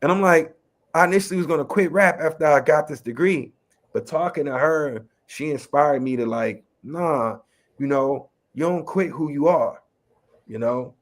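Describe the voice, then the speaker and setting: A man from Chicago's West Side with a local accent and a slight New York tinge, a rapper and educator speaking in a relaxed, streetwise way as an interview guest. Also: on the high side, moderate pace. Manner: relaxed, streetwise, lots of "you know what I mean". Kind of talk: casual interview talk.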